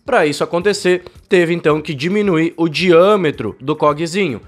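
Young man with a cold. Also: fairly high pitch. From Brazil. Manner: fast, chatty, explains with hand gestures. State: has a bad cold. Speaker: cycling channel host. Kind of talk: explainer video to camera. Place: home studio room. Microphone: fluffy-windscreen desk mic, close.

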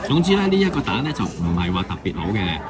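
Lively low-voiced man reviewing food. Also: fast. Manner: chatty, musing out loud, mildly critical. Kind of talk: casual food review voice-over.

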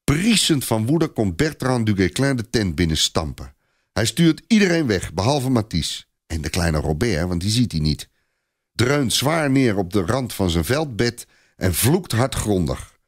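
A man's voice: on the low side, sing-song, a little slow. A man narrating a scripted story in a dramatic storytelling style.

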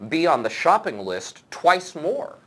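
A man's voice: on the high side, big pitch swings, a little slow, bright, forceful tone, breathy edge. A man in his forties, an interview guest, giving fluent, explanatory analysis with occasional stammered restarts, recorded indoors on a lapel mic. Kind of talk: conversational analysis. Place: indoor room with lamp and curtains.

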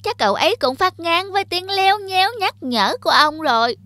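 A woman's voice: very high-pitched, lively intonation, brisk, pushed and bright, dry recording, soft background music, exaggerated voice. A woman voicing an arrogant young male talking diploma in a cartoon, smug and taunting. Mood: mocking. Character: boastful, arrogant young male diploma, mocking his elders. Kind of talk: cartoon dubbing.

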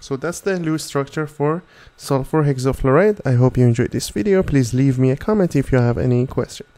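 A man's voice: medium pitch, a little fast, warm tone. A man working as a chemistry tutor, narrating a methodical step-by-step tutorial.

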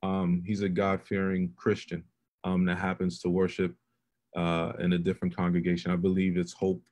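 A man's voice: sits low, monotone, normal speed, darkish tone. A man hosting an interview over a video call, earnest and affirming as he asks open questions. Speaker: host. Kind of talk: conversational interview.

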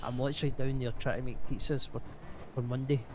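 A man's voice: mid-range voice, steady tone, fast talker. A man improvising as an aggrieved biker business owner, speaking bluntly with swearing in a roleplay voice chat. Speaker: roleplay game player. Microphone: gaming voice chat mic. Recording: noisy game voice chat.

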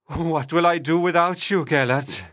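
A man playing a grieving, hot-tempered prince in a dramatised storybook legend, speaking deliberately. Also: on the high side, a bit bright, no echo, studio quality, natural voice. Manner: slow, deliberate, dramatic delivery. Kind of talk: dramatised storybook reading for learners.

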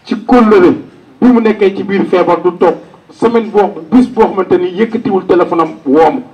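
A man's voice: high-pitched, moderate pace, darkish tone, distant mic, lo-fi sound. A Senegalese man delivering a public funeral tribute as eulogist, speaking into a microphone outdoors. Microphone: handheld-type microphone on a stand.

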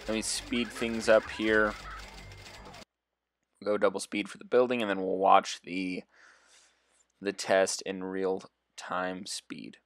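A young man with a deep, quiet voice, chatting casually and rambling as he comments on his own gameplay. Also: slow, pauses often, a little hiss or hum. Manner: relaxed, rambling, thinks aloud with fillers. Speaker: gameplay video host.

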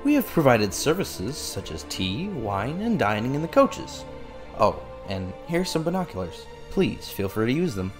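A man playing an excitable, official-sounding race announcer in a dramatic manga fandub. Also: medium pitch, measured pace, music in the background. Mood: formal, explanatory. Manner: formal explaining that bursts into breathless excitement.

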